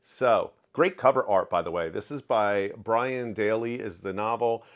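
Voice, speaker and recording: A middle-aged man giving a candid, opinionated book review in a home study, talking close to the camera with lively hand gestures. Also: lowish voice, a little slow. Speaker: book vlogger. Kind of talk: casual reading wrap-up review. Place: home study with shelves of collectibles. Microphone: webcam framing, close to camera.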